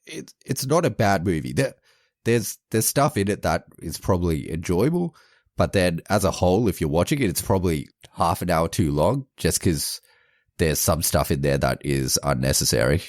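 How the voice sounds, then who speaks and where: A man's voice: sits low, animated, moderate pace, dry recording, studio quality. A man co-hosting a podcast, giving a casual, rambling movie review in informal speech sprinkled with "like". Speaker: podcast co-host.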